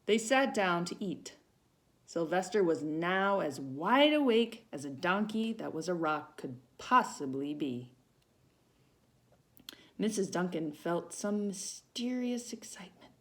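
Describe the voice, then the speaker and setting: A woman volunteer reads a children's picture book aloud in an expressive, storytelling manner. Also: fairly low pitch, slow. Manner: dramatic emphasis on feelings, with short asides to young listeners.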